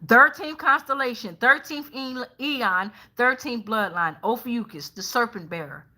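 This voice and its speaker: A woman's voice: on the high side, animated, unhurried, sharp tone. A middle-aged woman with an American accent doing a casual live tarot reading in a sassy, emphatic way, swearing freely. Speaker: tarot reader hosting a live stream.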